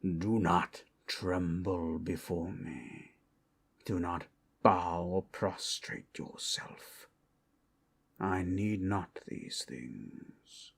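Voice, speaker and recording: Man acting as ancient dragon, fierce guardian, breathy husky rumble, extremely slow, grave theatrical ASMR monologue. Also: full character voice. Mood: commanding, grave. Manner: grave, deliberate, theatrical with long pauses. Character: ancient solemn male dragon, fiercely protective hoard guardian.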